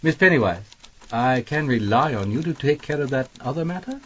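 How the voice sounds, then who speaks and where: An actor playing a genteel, scheming British publisher in a radio mystery, speaking in an urbane, theatrical, condescending drawl. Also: male voice, medium pitch, animated, a little fast, fairly bright tone, husky. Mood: courtly. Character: genteel, scheming widowed male publisher, calmly plotting murder. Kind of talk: radio mystery drama acting. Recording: dull band-limited audio with music.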